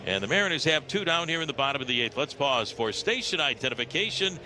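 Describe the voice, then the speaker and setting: An American man calling a live baseball game on the radio, in clipped descriptive phrases with some drawn-out calls. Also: on the high side, big pitch swings, pushed and bright. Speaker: baseball radio play-by-play announcer. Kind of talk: live play-by-play commentary. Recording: radio broadcast with clear background noise.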